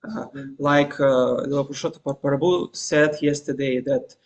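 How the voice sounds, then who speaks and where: A man with a Russian accent, a native Russian speaker, giving a scripture class in English with many pauses, fillers and restarts. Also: mid-range voice, steady tone, highs cut off. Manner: halting, frequent fillers and restarts; hesitant non-native English. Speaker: guest lecturer.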